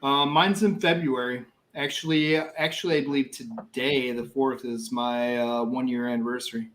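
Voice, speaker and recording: A man's voice: mid-range voice, fairly even intonation, moderate pace. A man in his late thirties hosting a casual group livestream chat. He jokes, swears freely and repeats himself as he talks. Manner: loud, joking, swears freely, repeats words. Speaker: host of a bourbon livestream. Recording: echoey room with faint background noise.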